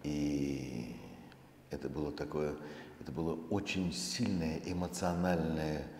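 A middle-aged man giving a public lecture as a presenter on stage, speaking in a measured, deliberate way with pauses mid-phrase. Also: a bass voice, fairly even intonation, darkish tone. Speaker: museum director presenting a project. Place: large concert hall stage, indoors. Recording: echoey hall, faint background noise.

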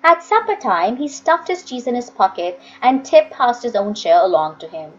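A woman narrates a story in a high, very expressive voice. Her phrasing is deliberate and dramatic, and the home recording sounds a bit dull. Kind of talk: expressive scripted audiobook reading.

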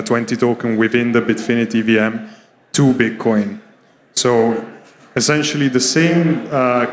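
A man in his thirties giving a conference product presentation as a company co-founder, speaking in a somewhat halting, hesitant way into a handheld microphone. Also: mid-range voice. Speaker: startup co-founder giving a keynote. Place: indoor conference stage. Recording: reverberant hall through stage microphone.

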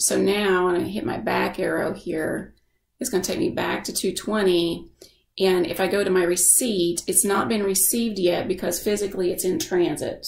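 A woman giving a casual software tutorial as a screen-share voice-over, explaining informally and thinking aloud. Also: fairly low pitch, creaky, reverberant. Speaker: software instructor.

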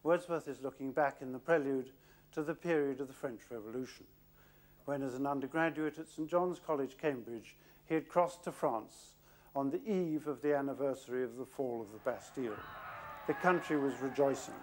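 A man in his fifties with an English accent presenting a documentary in an articulate, scholarly, measured way. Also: mid-range voice.

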